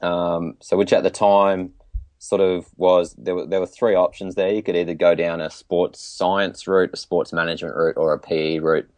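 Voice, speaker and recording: Aussie guy with a low voice, kinda hesitant and thoughtful, chatting in an interview, very dry sound. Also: flat delivery, moderate pace, no echo. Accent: Australian. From Tasmania, Australia. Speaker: podcast guest, PE teacher. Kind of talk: casual interview chat.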